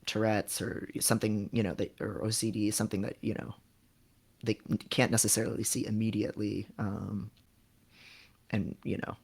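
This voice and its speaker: Low-pitched man chatting on a livestream. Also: level tone, normal speed, gravelly, husky. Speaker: livestream host giving job advice. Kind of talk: casual livestream chat, advising.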